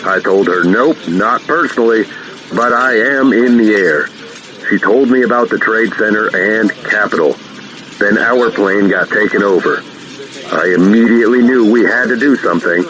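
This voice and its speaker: An actor playing a calm, modest veteran airline captain talking over the radio in an audio drama, plain-spoken and measured. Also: male voice, lowish voice, natural voice. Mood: shaken but composed. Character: calm veteran airline captain who retook his hijacked plane, modest. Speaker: airline pilot. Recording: noisy cockpit radio transmission.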